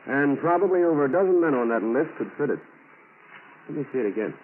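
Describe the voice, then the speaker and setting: An actor playing an observant, persistent federal investigator in a 1940s radio drama, clipped and casually probing. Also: male voice, medium pitch, lively intonation, fast, close to an everyday voice. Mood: puzzled, thinking. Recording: noisy tinny 1940s radio recording.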